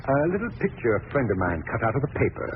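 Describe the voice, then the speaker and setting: An actor playing a sly, falsely courteous doctor villain in a vintage radio drama, suave and theatrical. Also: male voice, mid-range voice, big pitch swings, quick pace, phone-quality sound, lightly acted. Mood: sly, mock-polite. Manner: suave, mock-polite, theatrical. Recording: noisy, band-limited vintage radio recording.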